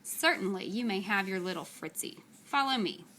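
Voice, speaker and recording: A woman voicing a menacing, haughty male magician in a storybook, speaking deliberately. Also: medium pitch, lively intonation, pushed and bright, a little hiss or hum, low-quality recording. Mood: smooth, sinister politeness. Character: menacing adult male magician, imperious and cold.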